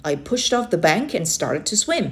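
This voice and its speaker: A woman narrating a children's book aloud in an expressive storytelling style. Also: mid-range voice, normal speed. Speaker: storybook reader.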